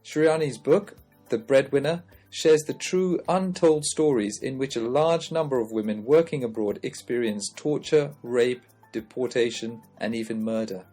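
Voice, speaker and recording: Guy hosting a podcast, husky voice, reads his intro, bit of music underneath. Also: mid-range voice, unhurried, warm tone. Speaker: podcast host. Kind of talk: scripted introduction and interview questions.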